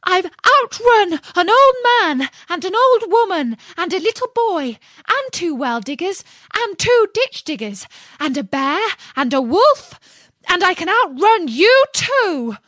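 A woman voicing a small, cheeky runaway gingerbread man in a storybook, loudly boasting in a sing-song recitation. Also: very animated, a little slow, no echo, clean studio sound. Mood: boastful, defiant. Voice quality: loud, high, shouted.

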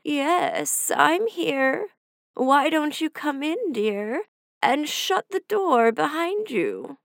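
A woman's voice: high voice, very animated, extremely slow, creaky, a bit bright, no echo, clean studio sound, voice pushed up, heavily acted. A woman voicing a cunning, hungry male wolf who speaks with sly, purring menace. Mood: deceptive.

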